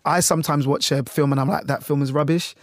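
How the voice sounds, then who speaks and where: A man's voice: fairly high pitch, fairly even intonation, fast talker, no room sound, studio quality. A man talking casually and candidly in an interview, telling personal anecdotes and often acting out quoted remarks. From Jamaica, later moved to the UK. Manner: candid, chatty, often voices imagined quotes. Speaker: radio journalist being interviewed.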